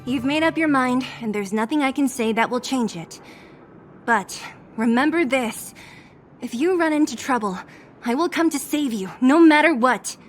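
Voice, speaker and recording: A woman's voice: sits high, normal speed, soft background music, close to an everyday voice. A woman voicing a blunt, scrappy, loyal young woman in a video game, casual and teasing in her talk. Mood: resolute. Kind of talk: dramatic video game voice acting.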